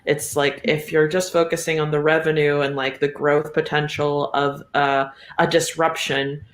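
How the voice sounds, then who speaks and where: A woman chatting casually as a podcast guest, wry and halting, recorded with a roomy echo. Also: deep voice, level tone, slight background noise. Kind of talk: casual chat. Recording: roomy, echoey sound.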